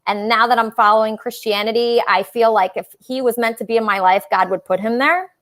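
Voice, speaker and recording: A woman's voice: a little high, steady tone, fairly quick, a bit bright. A woman hosting a casual livestream talk show, chatting with callers in a gushing, emphatic way.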